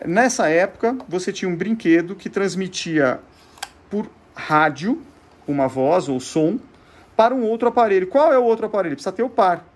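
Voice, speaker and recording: Brazilian guy, pretty high husky voice, casual teacher-like demo, asks stuff then answers it himself. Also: darkish tone. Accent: Brazilian Portuguese. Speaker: presenter of a show on vintage gadgets. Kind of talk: informal explanatory demonstration.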